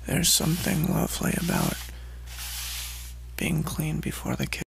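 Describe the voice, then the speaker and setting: A young man playing a soft-spoken, fussy, creepily courteous serial killer in an ASMR roleplay. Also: on the high side, creaky, slight background noise, low-quality recording. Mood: soft menace. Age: young adult. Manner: hushed, slow, menacingly courteous.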